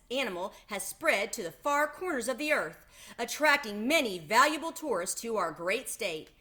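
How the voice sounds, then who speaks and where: A woman voicing a booming, pompous male fairground announcer from a storybook, with a declamatory, oratorical delivery. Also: sharp tone. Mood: grand, proclaiming.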